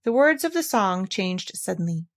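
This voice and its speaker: A woman narrating a children's storybook in an expressive read-aloud style. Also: medium pitch, normal speed. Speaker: storybook reader.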